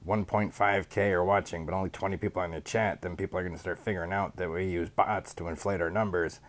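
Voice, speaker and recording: A man in his thirties putting on a smug rival streamer who cheerfully admits to being a villain, speaking deadpan into a studio mic in a small home studio. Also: deep voice, quick pace, lightly acted. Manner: deadpan mock-confession, sarcastic. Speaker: podcast guest doing a mocking impression. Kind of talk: sarcastic in-character rant. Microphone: studio mic on boom arm, headphones.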